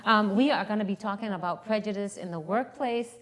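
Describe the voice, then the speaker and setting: A woman's voice: mid-range voice, brisk. A woman co-hosting a studio talk show, chatty and bubbly, with a slight northern New York accent mixed with a Spanish one. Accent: slight northern New York with Spanish touches. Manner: bubbly, chatty, laughs easily. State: tired, up since early morning. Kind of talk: casual studio chat.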